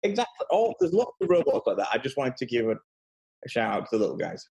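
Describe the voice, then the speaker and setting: A man with a medium-pitched voice speaks very quickly. He chats informally, in an earnest, emphatic way. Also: wildly swooping pitch, gravelly, darkish tone. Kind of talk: casual chat.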